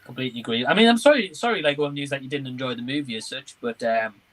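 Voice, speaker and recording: A man's voice: medium pitch, expressive, quick pace, a bit bright, low-quality recording. A man hosting a livestream, chatting informally and agreeably, rambling a little and reading out comments. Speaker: livestream host. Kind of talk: casual livestream chat, reading out viewer comments.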